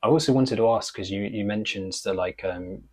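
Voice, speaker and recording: Low-voiced male interview host. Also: normal speed. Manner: curious, asks stacked follow-up questions. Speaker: host, Mandarin teacher. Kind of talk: interviewing a guest.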